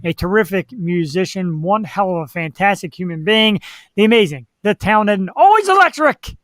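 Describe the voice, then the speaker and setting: A man's voice: high-pitched, expressive, moderate pace, fairly bright tone, no echo. Playful male talk-show host, dry sound. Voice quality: slightly nasal. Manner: playful, self-deprecating banter. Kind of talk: casual live-stream chat. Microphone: computer microphone, online show.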